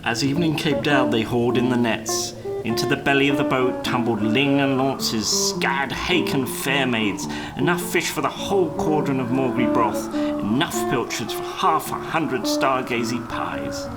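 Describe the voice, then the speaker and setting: A man reading a children's story as a narrator, in a measured, dramatic storytelling delivery. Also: mid-range voice, lively intonation, rough recording. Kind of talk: scripted storybook narration for a live puppet show. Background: plucked guitar music.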